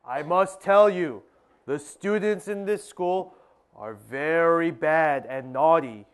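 A man's voice: fairly high pitch, slow pace, smooth. A man playing a wry school principal who laughs at a hopeful new teacher in a children's church skit.

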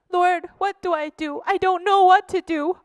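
A woman's voice: extremely high pitch, fairly even intonation, measured pace, dry recording, long reverb tail, voice pushed up. A woman playing an earnest young man in a children's church skit, praying aloud and sighing. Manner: earnest, prays aloud, sighs. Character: earnest young male teacher.

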